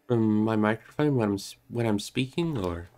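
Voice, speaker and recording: A man's voice: fairly low pitch, expressive, moderate pace, a little mellow. A man narrating a book aloud on a livestream, moving between storybook reading and casual chat with listeners.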